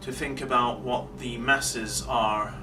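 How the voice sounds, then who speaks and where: A man delivering a deliberate, emphatic monologue of social commentary, pausing between phrases. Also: mid-range voice, fairly even intonation. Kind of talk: slow rhetorical monologue. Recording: distant mic, clearly noisy background.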